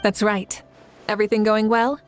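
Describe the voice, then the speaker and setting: Actress as calm friendly leader lady in an anime game, medium pitch, sure of herself. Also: normal speed, lightly acted. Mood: calm, pleased. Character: composed, friendly female leader, self-assured.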